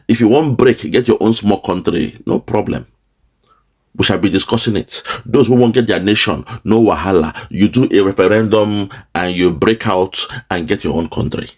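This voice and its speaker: A man's voice: mid-range voice, breathy edge. A man with a Nigerian accent hosting an online show, talking back to a played clip in a casual mix of Pidgin and English. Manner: lively, mixes Nigerian Pidgin and English, laughs often. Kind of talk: casual commentary.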